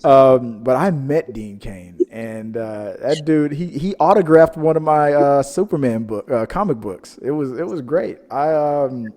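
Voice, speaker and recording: A man hosting a casual pop-culture show, telling stories in a rambling, animated way. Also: medium pitch, unhurried, few pauses. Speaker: podcast host. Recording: reverberant, echoing room sound.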